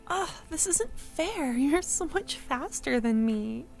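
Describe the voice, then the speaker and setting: A woman playing a shy, excitable, tender young friend in love in a soft-spoken ASMR audio roleplay, breathy and often near a whisper. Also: sits high, takes it slowly, over music, exaggerated voice. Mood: excited, urgent.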